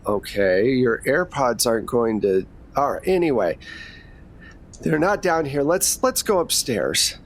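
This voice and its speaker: A man's voice: mid-range voice, sing-song, a little slow. Male security guard for a tabletop game played by a man, flustered, officious, hesitantly deferential.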